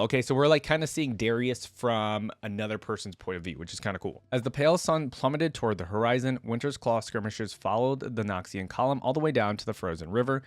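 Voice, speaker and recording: A man's voice: medium pitch, no room sound. A man talking casually as a video creator, moving between chatty reaction commentary and reading a story aloud.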